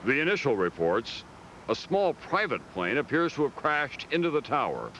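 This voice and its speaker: A man's voice: mid-range voice, wildly swooping pitch, moderate pace, fairly bright tone, slight background noise. Grave American male news narrator, slightly muffled. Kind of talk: scripted documentary narration.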